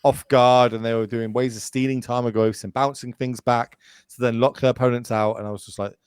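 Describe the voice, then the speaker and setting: A man's voice: medium pitch, flat delivery, brisk, clear, resonant tone, no room sound, studio quality. A man in his late thirties, a podcast guest chatting casually and going off on tangents, with lots of fillers and restarts. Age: 30s. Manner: rambling, goes off on tangents, many fillers and restarts. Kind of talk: casual chat.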